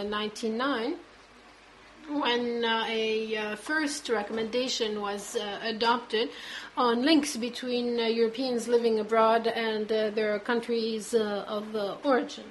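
A woman giving a simultaneous interpretation of a formal speech at a conference, halting, with constant "uh" fillers between words. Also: fairly high pitch, slight background noise, rough recording.

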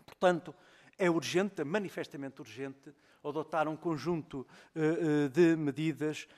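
A man speaking as a member of parliament, giving a formal speech full of filled pauses and restarts. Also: fairly high pitch, darkish tone. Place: parliamentary committee room. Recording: long hall reverb.

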